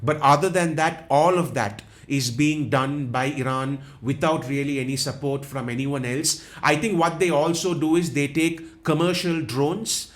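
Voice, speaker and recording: A man's voice: a little high, fairly even intonation, normal speed, hardly any pauses. A man from Sri Lanka with a Jaffna accent, explaining world affairs as an interview guest in an analytical, repetitive way with many restarts. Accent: Jaffna Tamil-influenced Sri Lankan English. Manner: explanatory, repeats himself, frequent restarts.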